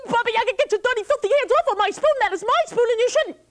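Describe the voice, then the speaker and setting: A high, squeaky young boy full of cocky bluster, as a woman puts him on. He rattles off his words at a breathless clip and swings his voice up and down. It is a storybook hero who cannot stop talking. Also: animated. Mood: indignant. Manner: fast, eager, overconfident chatter.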